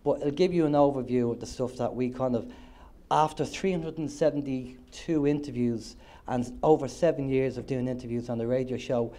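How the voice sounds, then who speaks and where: A man's voice: mid-range voice, fairly even intonation, normal speed, dark tone. An Irish man, a co-host of an internet radio show, presenting on stage at a conference in a chatty, joking way, a little nasal from a cold. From Ireland. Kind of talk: presenting on stage to a live audience.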